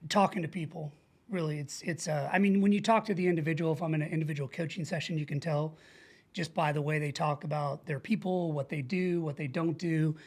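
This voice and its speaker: A man's voice: a little high, steady tone, a little fast. A middle-aged American man speaking earnestly and informally as a coach being interviewed, often illustrating with examples. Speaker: podcast guest, leadership coach. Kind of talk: casual conversational interview.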